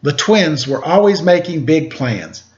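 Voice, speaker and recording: A man's voice: on the high side, animated, measured pace. A man reading a children's picture book aloud at storytime, with casual asides to his listeners. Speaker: storytime reader, teacher. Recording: roomy, dull, faintly noisy home recording.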